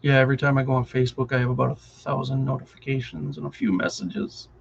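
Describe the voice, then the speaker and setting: A man's voice: mid-range voice, monotone, fast, vocal fry, lo-fi sound, a little hiss or hum. A middle-aged man with a Wisconsin accent, chatting casually and rambling on a live stream, often distracted and chuckling.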